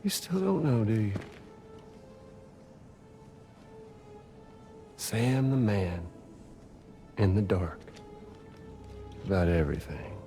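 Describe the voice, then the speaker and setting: A man's voice: fairly low pitch, very animated, unhurried, dark tone, faint music behind. An actor playing a theatrical, mocking game villain, drawling his menace. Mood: taunting. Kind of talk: video game cutscene acting.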